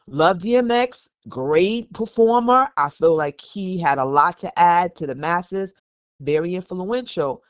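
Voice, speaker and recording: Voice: medium pitch, unhurried, clear, resonant tone, dry recording, studio quality. A podcast host reading an article and giving candid, emphatic opinions in a conversational way. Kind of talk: reading an article aloud, then opinionated commentary.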